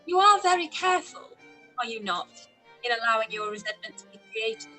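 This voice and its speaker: A witty, proud young gentlewoman of a period radio play, acted by a woman. Her voice is high and bright, and she spars archly in formal, pointed phrases. Faint music plays behind her. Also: expressive, a little fast, low-quality recording. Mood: probing. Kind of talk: period drama live radio-play reading.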